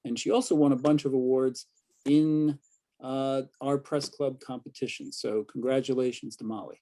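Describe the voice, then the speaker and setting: Man professor moderating a zoom panel, warm mellow voice, calm intros. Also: medium pitch, rough recording. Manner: measured, introduces guests. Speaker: professor and newspaper editor, moderator. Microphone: video call.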